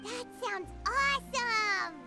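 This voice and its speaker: A woman voicing a small, childlike fairy companion in a video game, chatty and eager with a squeaky voice. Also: takes it slowly, gravelly, over loud music, exaggerated voice. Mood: excited. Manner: refers to self in third person, perky. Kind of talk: animated video game dialogue.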